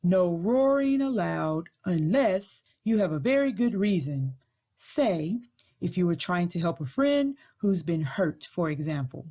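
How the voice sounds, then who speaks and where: A woman voicing a mild, kindly male library worker from a storybook, in a measured, understated way. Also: on the low side, big pitch swings, warm tone, highs cut off, heavily acted. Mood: gently hinting.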